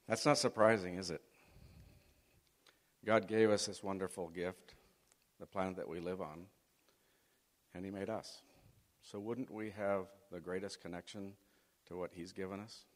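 A man's voice: low voice, fairly even intonation, measured pace, long pauses. A middle-aged man raised in Chile giving a folksy, faith-based talk to a student audience. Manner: folksy, leads the audience through an exercise. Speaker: guest speaker. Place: large hall. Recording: long hall reverb.

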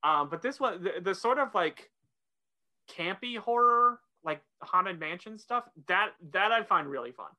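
A woman with a bright, forward voice at a middling pitch talks as a podcast co-host. The delivery is casual and halting, circling back over words before landing the point. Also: expressive. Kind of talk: casual discussion.

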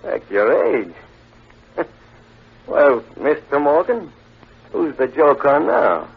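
A brash, wheedling gambler in an old radio crime drama, his fairly high voice swinging all over the pitch. He talks in slang and stammers when cornered, through a tinny, narrow recording. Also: big pitch swings, slow, gravelly, breathy edge, exaggerated voice. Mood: smug. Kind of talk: 1940s-50s radio crime drama acting.

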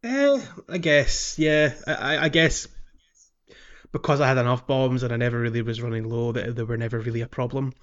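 A man's voice: medium pitch, brisk, fairly bright tone, muffled recording. A man hosting a podcast, casually discussing a video game and thinking aloud as he talks. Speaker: podcast host.

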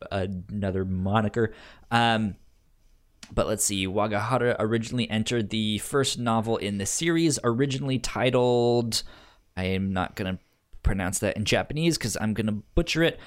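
A man co-hosting a podcast in casual conversation, halting and given to chuckling as he goes through his notes. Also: lowish voice. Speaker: podcast co-host.

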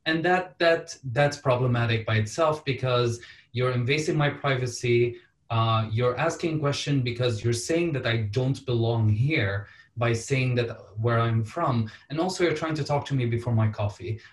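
A man's voice: mid-range voice, normal speed, far from the mic. Syrian-born man, roomy and off mic. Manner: earnest, wry asides. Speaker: panelist. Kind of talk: panel discussion answer.

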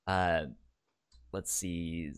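A man co-hosting a podcast, talking casually and haltingly as he discusses a TV show. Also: low-pitched, level tone. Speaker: podcast co-host. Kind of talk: casual conversational TV review.

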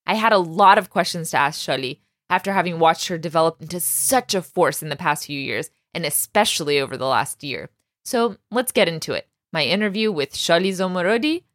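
A young woman hosting an interview podcast, admiring and quick to laugh. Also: on the low side, animated. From United States. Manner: warm, admiring, laughs often. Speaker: podcast host and Persian teacher.

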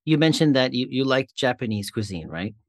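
A man's voice: mid-range voice, animated, brisk, no room sound. A man hosting a local community interview show, asking questions in an upbeat way with brief acknowledgments. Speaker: podcast host.

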